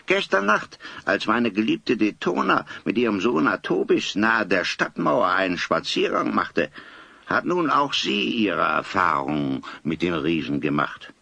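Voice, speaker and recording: Casting: elderly grandfather for audio drama, creaky and forceful, solemn, wordy, theatrical storyteller. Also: lowish voice, very animated, a little slow, no echo, full character voice. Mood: aggrieved, worried. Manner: dramatic, old-fashioned wordy delivery. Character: solemn old grandfather, vindicated eyewitness storyteller. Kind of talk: German audio drama acting.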